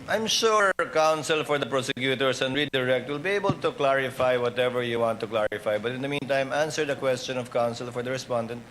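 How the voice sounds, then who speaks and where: A Filipino man with a medium-pitched voice presides over a hearing amid room noise. He speaks formally and with authority, admonishing and instructing. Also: fast talker, few pauses, a bit bright. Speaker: presiding officer. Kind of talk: formal courtroom rulings. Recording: noisy hearing-room ambience.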